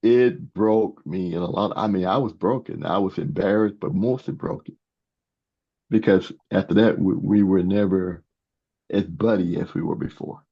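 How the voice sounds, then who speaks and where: An older man in his sixties or beyond, answering interview questions as a guest author in a halting way, often repeating words. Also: deep voice, vocal fry, slightly rough. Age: 60s or older. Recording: dull, muffled lo-fi sound.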